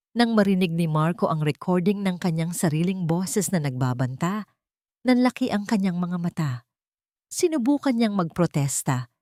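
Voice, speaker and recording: Woman narrating, fairly low voice, dry recording. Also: a little mellow, dry recording. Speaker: storyteller. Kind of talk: scripted dramatic story narration.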